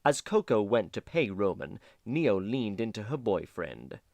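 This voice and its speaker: A man's voice: on the low side, big pitch swings, a little slow, vocal fry, a little mellow, no echo. A man narrating a fan audio drama. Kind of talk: story narration.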